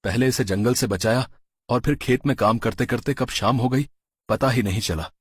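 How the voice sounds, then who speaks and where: A man's voice: mid-range voice, moderate pace, husky, no room sound, clean studio sound, close to an everyday voice. A man voicing a kind-hearted, humble farmer in a cartoon story, earnest and dramatic. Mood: fond.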